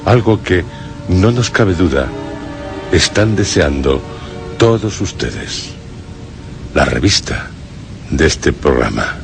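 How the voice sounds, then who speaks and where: Very deep, solemn male radio host. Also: big pitch swings, gravelly. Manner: solemn, theatrical, deliberate pauses. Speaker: radio drama programme host. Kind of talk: scripted radio presenting. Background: prominent music.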